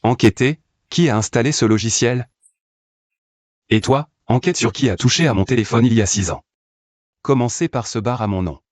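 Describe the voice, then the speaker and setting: A man's voice: mid-range voice, normal speed, dry recording, natural voice. Male voice actor: cold CEO, commanding and curt, dry booth. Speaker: cold company boss. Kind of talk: dubbed drama acting.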